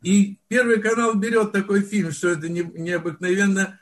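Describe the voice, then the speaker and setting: Older man, husky high voice. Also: moderate pace. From Soviet Union. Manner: reminiscing, with frequent restarts and word searches. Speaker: film director, interview guest. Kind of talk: unhurried storytelling in conversation.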